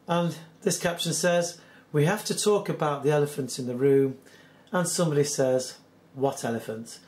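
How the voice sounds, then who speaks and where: Hoarse British man hosting commentary. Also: on the high side, darkish tone. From United Kingdom. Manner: earnest, skeptical, measured. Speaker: channel host. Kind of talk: solo commentary reading news articles.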